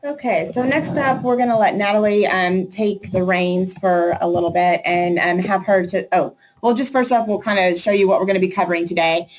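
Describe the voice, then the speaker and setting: A woman's voice: medium pitch, fairly quick, hardly any pauses, slight background noise, poor audio. A woman hosting a webinar as associate director, friendly and loosely organized, with fillers.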